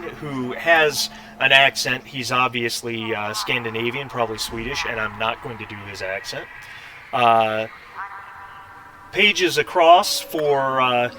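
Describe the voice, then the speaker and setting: Bright-voiced male game master over light noise. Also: medium pitch, rough recording. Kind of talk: improvised tabletop game narration with casual table talk.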